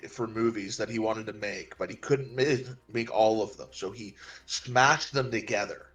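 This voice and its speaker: A man co-hosting a casual film discussion, speaking haltingly and repeating phrases for emphasis. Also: on the low side, fairly bright tone, low-quality recording. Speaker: film podcast co-host.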